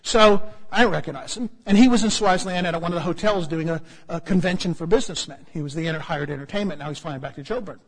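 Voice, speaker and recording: A male preacher with an American accent spins a funny personal story from the pulpit. His voice sits high and a little husky. It rings out through a large hall with a long reverb tail. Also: fast talker, few pauses. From United States. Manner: humorous, animated storytelling with asides. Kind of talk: storytelling sermon.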